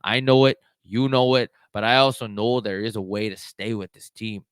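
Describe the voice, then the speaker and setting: A man playing a gruff, demanding hockey coach in a one-man film reenactment, commanding and repetitive as he drives his players. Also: on the low side, fairly even intonation, normal speed, no echo, studio quality, natural voice. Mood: intense, resolute.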